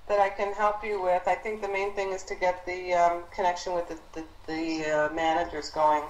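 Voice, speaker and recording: A woman leading a team conference call as an instructor, explaining business matters in a halting, encouraging way as she thinks aloud. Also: on the low side, flat delivery. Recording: roomy conference call audio.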